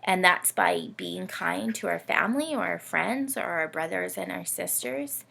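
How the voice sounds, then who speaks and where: A woman hosts story time for young children, speaking slowly and simply. Her voice is bright with strong vocal fry, and she addresses the little listeners directly and encouragingly. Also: on the low side. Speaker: children's read-aloud host.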